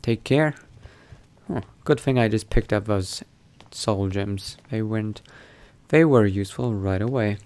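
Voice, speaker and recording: A man narrating his role-play gameplay in character, musing to himself in an unhurried, gravelly voice. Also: on the low side, a little mellow. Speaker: gaming video host, role-playing player.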